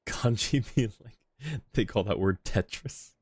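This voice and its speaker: A man's voice: on the low side, unhurried. A man streaming a game with casual, playful and teasing commentary.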